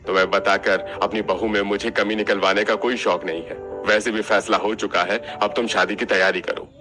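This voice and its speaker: A man's voice: fairly low pitch, steady tone, moderate pace, over music, close to an everyday voice. A man voicing a decisive, sardonic older father-in-law who heads the household in a cartoon. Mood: stern.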